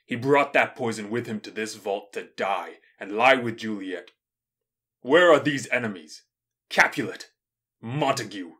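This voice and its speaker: A man playing a stern, commanding ruler passing judgment with deliberate authority in an audio drama. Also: mid-range voice, pushed and bright. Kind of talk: modernized Shakespeare audio drama acting.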